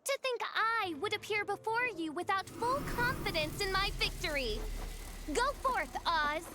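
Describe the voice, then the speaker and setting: Pompous high-pitched theatrical villain girl. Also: expressive, a little slow. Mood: smug, grand. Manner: pompous, grandiose, archaic phrasing. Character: theatrical haughty teenage girl role-playing a villainous mage. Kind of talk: anime game voice acting.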